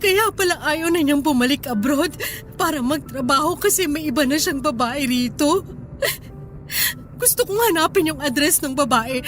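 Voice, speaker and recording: A woman's voice: high voice, a bit bright. An actress playing a betrayed wife and mother in a Filipino radio drama, plaintive, exasperated and feisty. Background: background music.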